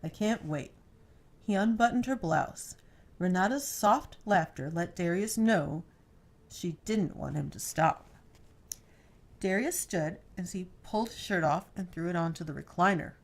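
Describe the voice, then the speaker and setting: A woman reads a novel aloud as its storyteller. Her voice sits slightly low, and the delivery is scripted narration of fiction. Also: measured pace.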